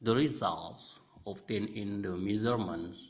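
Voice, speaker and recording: A man with an Indonesian accent reading a scripted academic presentation in halting phrases. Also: lowish voice. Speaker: researcher presenting a paper. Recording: dull lo-fi voice-over.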